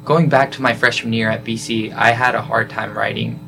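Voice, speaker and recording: Young man, low gravelly voice, casual filler-heavy speech, music in background. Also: fairly quick. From South Korea. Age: young adult. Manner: relaxed, lots of "like" fillers. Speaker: study abroad student guest. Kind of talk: casual interview answers.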